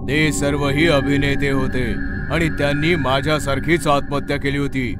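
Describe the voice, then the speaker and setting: A man playing the bitter, vengeful ghost of a dead actor in a horror story, speaking in a measured, menacing way. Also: mid-range voice, flat delivery, close to an everyday voice. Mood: brooding, resentful. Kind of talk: dramatised horror story acting. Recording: loud music under the voice.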